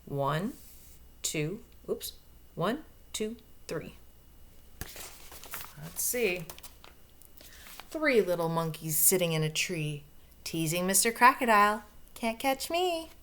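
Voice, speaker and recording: A woman's voice: fairly low pitch, lively intonation, takes it slowly, pauses often, a bit bright, slight background noise. A woman reading a picture book aloud to young children, expressively, with explanations and questions along the way. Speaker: storybook reader.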